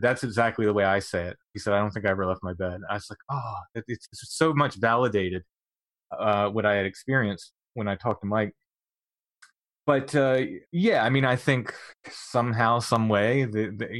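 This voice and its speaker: Man, middle-aged, deep-ish voice, dry sound; halting interview storyteller. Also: a bit bright, no room sound. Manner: halting, many restarts and fillers, occasional laughs. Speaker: guest recounting experiences.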